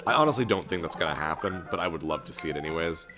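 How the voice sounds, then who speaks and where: A young man with a very low voice chats loosely while he plays, in a flat, monotone delivery full of ums and false starts. Game music plays clearly under his voice. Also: racing. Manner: self-described monotone, rambling with filler and restarts. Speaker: let's play host. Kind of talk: casual gameplay commentary. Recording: game music playing behind voice.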